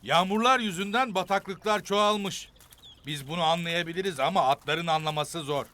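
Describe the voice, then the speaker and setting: An actor playing a kindly, encouraging leader of a nomad camp in an animated children's series. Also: male voice, sits high, normal speed. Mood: steady, instructing.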